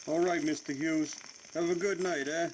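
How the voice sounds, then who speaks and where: A friendly working-class janitor , voiced by a man, eager and respectful toward his boss. It is acted like 1940s radio drama, with clear sizzling noise in the recording. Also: fairly high pitch, steady tone, normal speed, natural voice. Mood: cheerful, deferential. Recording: noisy recording with sizzling hiss.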